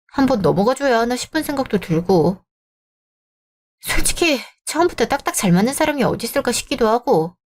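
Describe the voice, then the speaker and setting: A young woman performs a radio drama, speaking as a firm, hurt heroine who stands her ground. Her voice sits on the higher side. Her lines come out clear and insistent. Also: very animated, brisk, a little mellow, a little hiss or hum. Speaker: narrator and heroine.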